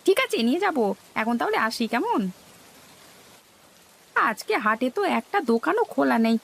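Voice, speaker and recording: A woman's voice: high-pitched, big pitch swings, measured pace, creaky, fairly bright tone, heavily acted. A woman voicing a naive, eager male village fish seller in a cartoon, with a questioning, simple-minded manner. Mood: agreeable, eager. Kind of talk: cartoon dialogue.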